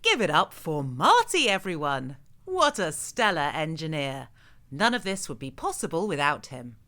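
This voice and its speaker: An actor playing a showy radio show host with a menacing edge, presenting theatrically in an audio drama. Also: male voice, on the high side, sing-song, normal speed, sharp tone. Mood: showy, upbeat.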